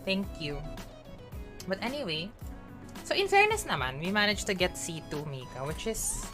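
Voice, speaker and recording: A chatty woman streaming a game, her voice low but swinging lively up and down as she talks playfully to her viewers. Game music plays clearly under her. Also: normal speed. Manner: chatty, playful, code-switching, self-talking. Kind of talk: casual live gaming chatter.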